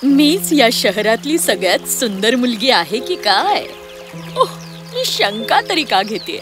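A woman's voice: on the high side, sing-song, slow, over music. A woman playing a vain, cruel young woman in a children's cartoon fairy tale, with a haughty, scornful, theatrical manner. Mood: smug.

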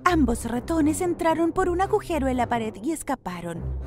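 A woman tells a children's story from a script. Her voice is high and very lively in pitch, with an expressive storytelling delivery. Music plays clearly behind her. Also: moderate pace.

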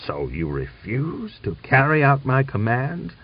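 A man voicing an irascible, ageing, imperious general in an audiobook, with a hoarse, blustering, commanding delivery. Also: medium pitch, wildly swooping pitch, a little slow, pitched above the performer's own voice, full character voice. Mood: imperious, angry. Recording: dull lo-fi recording.

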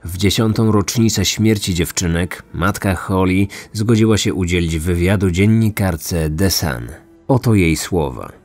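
A man with a very low voice reads scripted true-crime narration. Faint music plays behind him. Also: measured pace, a little mellow.

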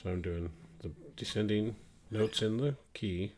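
A middle-aged man with a cold, explaining guitar arranging in a relaxed, thinking-aloud way. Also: fairly low pitch, unhurried. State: has a cold. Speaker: guitar teacher. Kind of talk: casual guitar tutorial. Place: small home music room, indoors. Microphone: studio mic on stand, wearing headphones. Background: acoustic guitar playing.

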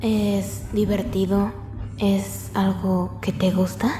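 A woman's voice: mid-range voice, flat delivery, takes it slowly, mellow, natural voice. A young woman playing a tough, snarky outlaw in a Latin American Spanish video game fan dub, blunt and sarcastic. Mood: sarcastic, probing. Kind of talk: amateur fandub of a video game. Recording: echoey room with music.

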